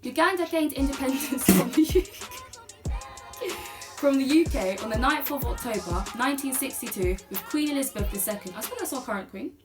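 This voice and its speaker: A woman's voice: sits high, sing-song, normal speed, over music. A young woman reading aloud in a casual chat, stumbling over words and giggling. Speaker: guest co-host.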